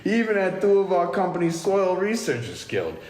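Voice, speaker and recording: A man's voice: sits high, wildly swooping pitch, fairly quick, darkish tone, voice pushed up. A man in an amateur table read playing a pushy, foul-mouthed recruiter of mercenaries, impatient and shady. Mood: pushy, heated. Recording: echoey reverberant room, background noise.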